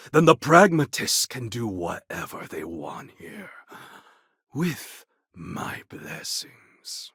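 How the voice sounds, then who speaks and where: A defiant warlord from a video game, speaks in a very raspy, gravelly voice with a forceful edge. The delivery is slow and grandiose, every formal phrase weighted like that of an impassioned leader. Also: male voice, mid-range voice, dry recording, studio quality, exaggerated voice. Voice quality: gravelly, husky. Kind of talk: dramatic video game character acting.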